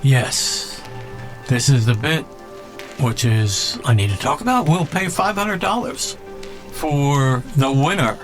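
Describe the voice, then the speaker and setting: A man talks in a slow, casual monologue with a mid-range voice. He muses whimsically and pauses as he goes, like a host thinking aloud, with soft music behind him. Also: medium pitch, expressive. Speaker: contest organizer and show host.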